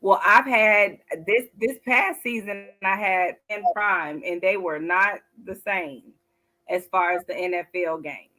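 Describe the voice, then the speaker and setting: A woman co-hosting a casual sports talk show, chatty and quick to laugh. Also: medium pitch, sharp tone. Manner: chatty, laughs often, drawn-out asides. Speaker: co-host.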